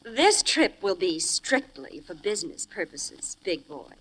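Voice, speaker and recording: Teasing, swooping femme fatale. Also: female voice, fairly low pitch, big pitch swings, a little slow, a bit bright, breathy edge, exaggerated voice, low-quality recording. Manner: coy, teasing, drawling. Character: glamorous, flirtatious blonde secretary, a femme fatale. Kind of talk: 1940s radio crime drama acting. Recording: old-time radio broadcast recording.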